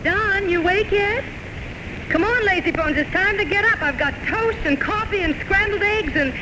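Radio drama lead: worried, coaxing woman devoted to her man, clear hiss and noise behind her. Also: very high-pitched, exaggerated voice. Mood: bright, then anxious. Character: devoted, worried girlfriend, gently insistent. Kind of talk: old-time radio drama acting. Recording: noisy old radio recording.

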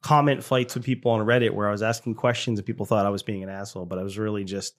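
A man co-hosting a podcast, speaking in a calm, measured way with dry jokes. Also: on the low side. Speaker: podcast co-host. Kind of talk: casual podcast chat.

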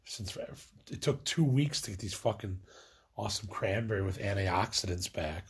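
A stoned young man from Illinois rambles through a late-night rant, circling back on himself and padding every thought with "like." His voice sits low and sounds heavily husky and breathy. He talks casually to camera as a vlogger. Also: expressive, normal speed. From Illinois, USA. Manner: meandering, filler-heavy, lots of "like".

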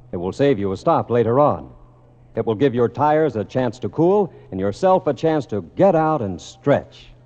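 A man reading a scripted 1950s radio sponsor announcement in a polished, measured sales delivery. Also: medium pitch, warm tone. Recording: dull old radio recording.

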